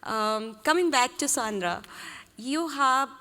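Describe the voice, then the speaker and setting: A woman's voice: high-pitched, expressive, slow pace, bright, forceful tone. A woman moderating a conference panel, searching for her phrasing with many ums as she frames questions. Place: large conference hall stage. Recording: long hall reverb.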